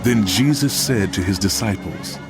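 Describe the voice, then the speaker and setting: A man's voice: lowish voice, normal speed. A man giving scripted narration for a dramatized reading of scripture. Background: background music.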